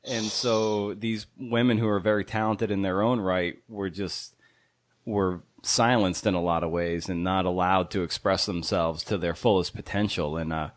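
Guy hosting an interview podcast, deep-ish low voice, dry sound, kinda meandering questions. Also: fairly even intonation, moderate pace, no echo. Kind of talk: conversational interviewing.

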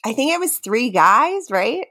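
A woman's voice: a little high, sing-song, measured pace, pushed and bright. A woman hosting a podcast guessing game in casual banter, with a playful, teasing manner.

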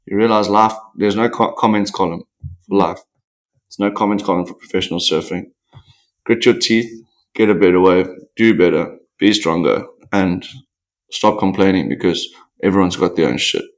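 A man talks in a fairly low voice, telling stories in an animated, rambling way. He speaks candidly as a podcast guest and acts out quotes as he goes. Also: normal speed. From South Africa. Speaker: podcast guest, professional surfer. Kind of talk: candid interview conversation.

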